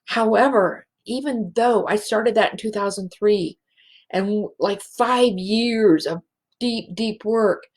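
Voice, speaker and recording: Slow, teary-voiced woman host. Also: medium pitch, a little mellow, rough recording. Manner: slow, halting and emotional, many restarts. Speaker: show host, healing facilitator. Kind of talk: heartfelt spiritual show monologue.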